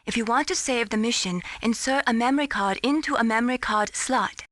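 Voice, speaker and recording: An earnest young female support operator from a video game, voiced by an actress, slightly high in pitch. She speaks gently and politely with an apologetic manner, in a close, dry studio recording. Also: a little fast, dry recording, lightly acted.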